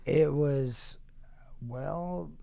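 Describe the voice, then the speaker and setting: A middle-aged American man reminisces casually in a creaky, gravelly voice. He talks unhurriedly and in broken pieces, stopping to search for words, with a wry touch. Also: on the high side, mellow. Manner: halting, fragmented, wry. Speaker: interview guest.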